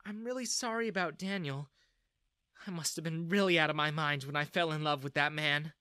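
A man plays a gentle middle-aged mother in a narrated story. The voice is high and polite, with an apologetic manner and a dry, close sound. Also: big pitch swings, measured pace, no echo, full character voice.

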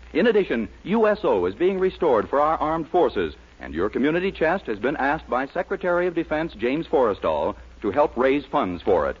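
A man's voice: mid-range voice, slightly rough, muffled recording. An American man working as a radio announcer, reading scripted spots in 1940s broadcast style on an old recording.

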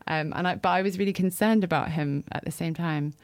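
Woman, podcast panellist. Low register, informal anecdotal delivery, very dry sound. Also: fairly quick, no echo. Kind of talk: casual personal storytelling.

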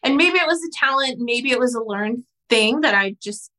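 A woman in her thirties whose first language is Spanish, telling her story in an interview in a frank, animated way and swearing casually. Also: on the high side, moderate pace. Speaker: guest entrepreneur.

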